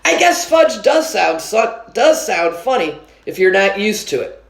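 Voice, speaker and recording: A woman reading a children's book aloud with an expressive storytelling manner. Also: medium pitch, measured pace, echoey room. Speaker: story reader.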